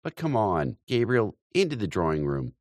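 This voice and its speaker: A man's voice: fairly low pitch, very animated, moderate pace, darkish tone, no room sound, clean studio sound, pitched above the performer's own voice. A man voicing a fussy, anxious older aunt who bustles about a party. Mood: confiding. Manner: anxious, fussing.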